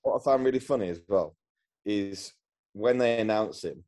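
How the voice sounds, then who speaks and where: An easygoing man chipping into podcast chat with short replies, his voice lowish and soft-toned. He sounds relaxed and unhurried. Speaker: podcast co-host. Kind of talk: casual chat.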